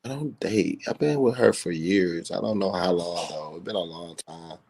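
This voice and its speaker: Deep-voiced nonchalant man. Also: moderate pace, gravelly, breathy edge. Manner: nonchalant, deadpan. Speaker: panel guest.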